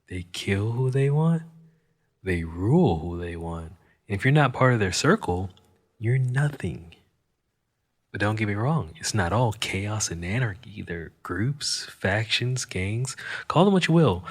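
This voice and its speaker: An actor playing a cynical, wisecracking Martian criminal fixer in an audio drama monologue, with wry, world-weary delivery and deliberate pauses. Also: male voice, on the low side, expressive. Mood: grim, emphatic.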